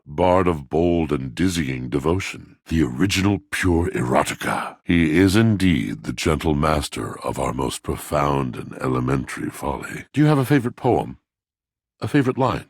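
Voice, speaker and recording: An actor playing a melodramatic, poetry-obsessed washing machine in a video game, with florid, theatrical declaiming and a gravelly voice. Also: male voice, rumbling low, dry recording, studio quality, full character voice. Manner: florid, grandiloquent, dramatic declaiming.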